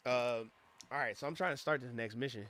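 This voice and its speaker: A young man streaming a game, rambling and swearing as he tells stories in casual chat, his voice a little hoarse from losing it. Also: mid-range voice, brisk. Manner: rambling, profane, animated storytelling. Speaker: gaming streamer. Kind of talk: casual livestream chat while gaming.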